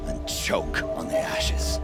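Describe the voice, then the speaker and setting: An actor playing a vengeful, sneering villain in a video game cutscene, with theatrical, menacing taunts. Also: male voice, slow pace, lightly acted. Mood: gloating menace. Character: vengeful, sneering male villain gloating over destruction. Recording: loud music underneath.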